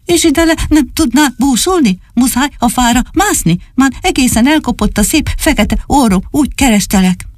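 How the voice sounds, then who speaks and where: A woman voicing a small, excitable little dog that whines and sulks in a storybook reading. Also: high voice, few pauses, no room sound, clean studio sound, pitched above the performer's own voice. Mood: scolding. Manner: whiny, sulky and excitable.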